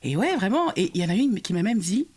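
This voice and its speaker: A young woman speaks in a relaxed podcast conversation. She tells her story with animation, stumbling over restarts and fillers as she goes. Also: medium pitch, fast talker, husky. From Congo. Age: young adult. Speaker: guest sharing her experience.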